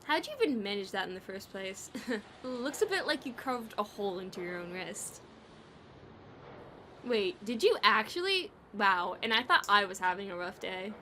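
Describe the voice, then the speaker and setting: A woman's voice: medium pitch, lively intonation, normal speed, bright, forceful tone. A woman voicing a playful, sarcastic non-human oddball with wry, bantering delivery in an audio drama, over background noise. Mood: wry sarcasm. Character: sardonic, teasing, playful eyeless bloodless oddball.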